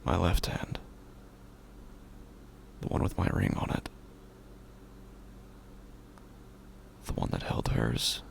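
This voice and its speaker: Guy playing broken grieving husband losing his mind, horror podcast monologue, super gravelly and breathy, halting, faint noise behind. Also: rumbling low, animated, heavily acted. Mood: bleak fixation. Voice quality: gravelly, breathy, often dropping to a whisper. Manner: halting, brooding, with long pauses. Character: grieving, haunted widower slowly unraveling into madness. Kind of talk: first-person horror audio drama monologue.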